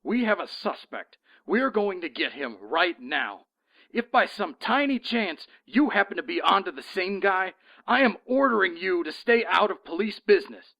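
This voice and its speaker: An actor playing an exasperated, sarcastic police lieutenant in an audio drama, creaky and commanding, in muffled sound. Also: male voice, sits high, sing-song, moderate pace, sharp tone, heavily acted. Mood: stern, threatening. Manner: scornful, commanding.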